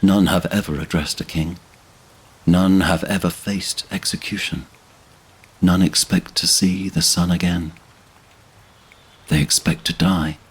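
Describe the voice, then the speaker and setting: A man's voice: sits low, steady tone, measured pace, pauses often, breathy edge, poor audio. A man giving solemn scripted narration for a fantasy audio drama. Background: orchestral string music.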